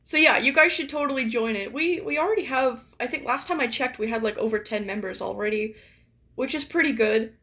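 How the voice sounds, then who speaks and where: A young woman streaming and chatting casually with viewers, her voice a little raspy and deeper from just waking up, talking in a rambling way full of "like". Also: fairly quick, pushed and bright. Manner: chatty, filler-heavy, many "like"s. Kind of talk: casual live-stream chat.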